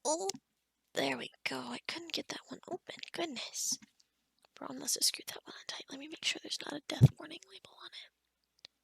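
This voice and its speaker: A woman's voice: sits high, moderate pace, vocal fry. A woman playing a kind, scatterbrained forest witch in an ASMR roleplay, rambling and muttering to herself with whispery asides. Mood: distracted muttering.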